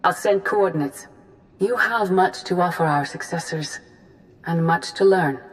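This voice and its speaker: Woman playing stately jedi grandmaster lady, lowish voice, grave n deliberate, scripted game lines. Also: lightly acted, low-quality recording. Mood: grave, earnest. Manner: formal, deliberate. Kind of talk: scripted video game dialogue.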